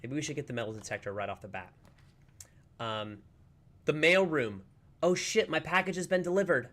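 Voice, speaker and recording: A man's voice: fairly high pitch, normal speed, sharp tone. Chatty upbeat man streaming a game. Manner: chatty, upbeat, rambling with many fillers. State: slightly hoarse, recovering from a lost voice. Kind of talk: casual livestream chat while playing.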